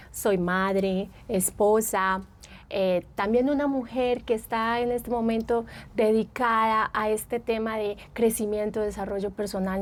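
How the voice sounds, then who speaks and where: A middle-aged woman from Medellín with an Antioquian Colombian accent, speaking as a psychologist guest in a relaxed podcast chat, reflective and quick to laugh. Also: medium pitch. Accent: Antioquian Colombian Spanish. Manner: warm, reflective, laughs often, uses fillers. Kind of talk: casual podcast conversation.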